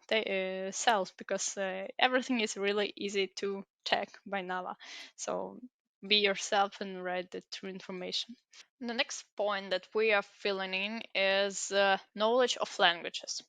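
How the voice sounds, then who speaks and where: A woman's voice: medium pitch, a bit bright, clear, resonant tone, dry recording. A young woman, a native Ukrainian speaker, talking through an online application step by step, with frequent hesitations and fillers. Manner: explaining step by step with many fillers. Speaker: tutorial presenter. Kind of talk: screen-recorded application walkthrough.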